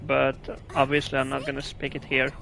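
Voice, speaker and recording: Bright-voiced man streaming. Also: medium pitch, monotone, brisk, creaky. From Finland. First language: Russian. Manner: chatty, answers viewers' chat, restarts phrases. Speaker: game streamer. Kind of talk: casual gameplay commentary.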